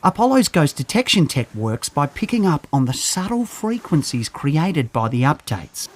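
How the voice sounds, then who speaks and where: A man's voice: fairly high pitch, very animated, moderate pace. A man playing a nerdy, hesitant scientist in an audio drama, stammering and rambling. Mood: hesitant, thoughtful. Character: nerdy, hesitant male scientist with boyish glee.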